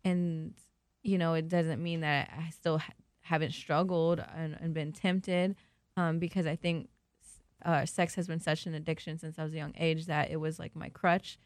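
A woman's voice: sits low, flat delivery, moderate pace, dry recording, clean studio sound. A woman from Peru, a podcast guest, speaking openly and earnestly about her own experience, thinking aloud with frequent fillers. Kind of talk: candid personal discussion.

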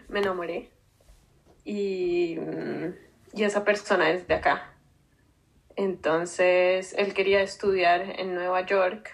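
A Colombian woman from Bogotá, around her thirties, speaking slowly and thoughtfully as an interview guest. Her voice sits at a middle pitch, and she pauses often with soft fillers while she gathers her thoughts. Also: gravelly, rough recording. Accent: Colombian Spanish, Bogotá. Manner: thoughtful, hesitant with many fillers. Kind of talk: casual interview answers.

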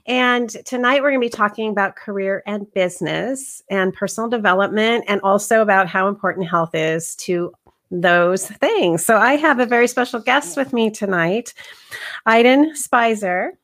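A woman's voice: medium pitch, moderate pace, a bit bright. A woman hosting a health coaching podcast, reading a scripted intro and then chatting with a guest in an upbeat manner.

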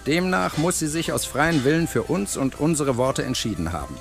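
A man as a creaky, pompous philosopher. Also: on the high side, expressive, normal speed, soft background music. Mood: pompous, lecturing. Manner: pompous, lecturing. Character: pompous, over-analytical village philosopher, male. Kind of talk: comic audio drama acting.

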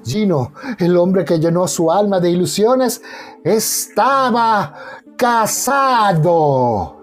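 A man's voice: high voice, lively intonation, takes it slowly, soft background music. A man giving dramatic, scripted narration for a radio soap opera in a melodramatic storytelling manner.

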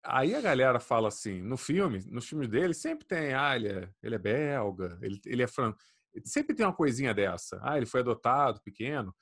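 A man, chatting casually as a podcast co-host, animated and swearing freely. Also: medium pitch, a little fast. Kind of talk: casual chat.

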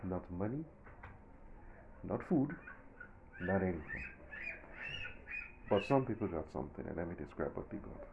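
A man's voice: deep voice, big pitch swings, a little slow, pauses often, muffled recording, noisy background. A man in his forties with a Nigerian accent, giving his own emphatic, indignant opinion in a monologue. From southern Nigeria. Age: 40s. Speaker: commentator.

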